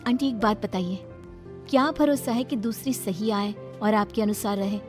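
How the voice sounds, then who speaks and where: A woman's voice: mid-range voice, normal speed, soft background music, lightly acted. A woman voicing a sweet, put-upon young daughter-in-law in a cartoon story, polite and plaintive but firm. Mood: polite firmness. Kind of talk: Hindi cartoon story acting.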